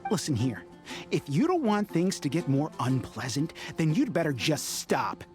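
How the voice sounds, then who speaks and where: A bitter working-class townsman talks back with scornful defiance. His pitch swings widely as he confronts someone. Game music plays clearly behind him. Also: very animated, moderate pace. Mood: defiant.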